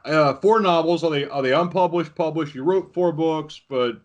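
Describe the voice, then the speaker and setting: A man hosting a casual podcast interview, wording his questions in an informal, halting way. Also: on the high side, slight background noise. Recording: dull, lo-fi sound.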